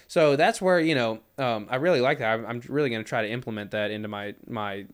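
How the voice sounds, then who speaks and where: A man in his twenties in casual podcast chat, animated and self-mocking, swearing and saying "dude" a lot. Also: mid-range voice, fast talker, smooth. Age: 20s.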